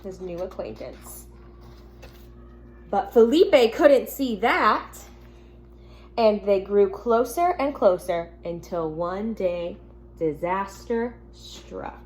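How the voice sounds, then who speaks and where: A woman teacher speaking gently and simply to young children, reading a picture book aloud at home with casual asides. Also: mid-range voice, lively intonation, slow. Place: at home in an apartment. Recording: noticeable background noise, home recording.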